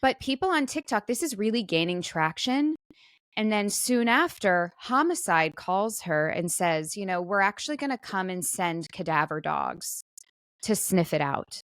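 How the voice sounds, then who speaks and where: Woman talk show co-host, mid pitch, super dry sound, chatty storytelling, casual. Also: animated, moderate pace, fairly bright tone, dry recording. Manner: conversational storytelling with quoted asides. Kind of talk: casual chat, retelling a viral story.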